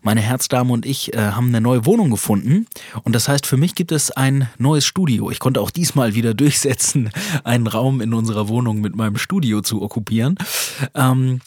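A man's voice: mid-range voice, normal speed, a little mellow, husky, dry recording, clean studio sound. A man hosting a casual solo podcast, chatty with many fillers and easy laughs, his nose still stuffy from a cold. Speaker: podcast host. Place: small home studio.

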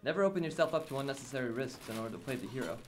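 A man's voice: mid-range voice, expressive, very fast, a little hiss or hum, lightly acted. A man acting in an audio drama as a pedantic, matter-of-fact teenage boy who plans zombie survival. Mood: brisk, instructive. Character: know-it-all teenage boy, self-appointed zombie survival strategist.

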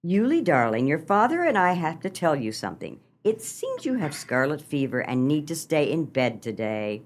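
A woman's voice: low voice, sing-song, moderate pace. A woman playing a scheming, dramatic small-town wife and mother in a comic radio play, with theatrical, genteel delivery. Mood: sweetly firm.

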